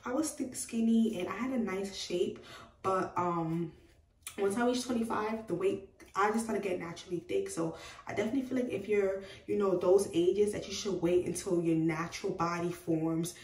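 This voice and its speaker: A woman in her twenties talking casually to the camera, chatty and animated as she gestures with her hands. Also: medium pitch, normal speed. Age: 20s. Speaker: beauty vlogger. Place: small room indoors by a doorway. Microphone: camera at a distance. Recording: roomy, slightly distant sound.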